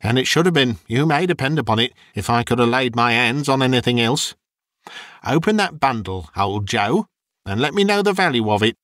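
A man voicing a coarse, greedy old charwoman who mocks the dead in brash, quick bursts. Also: medium pitch, expressive, a bit bright, no room sound, studio quality, close to an everyday voice. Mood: scornful.

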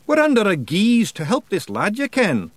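A man's voice: high-pitched, huge pitch swings, moderate pace, dry recording, pitched above the performer's own voice, exaggerated voice. A man voicing a tiny, brash male fairy warrior chief with a Scottish accent, boisterous and cheeky.

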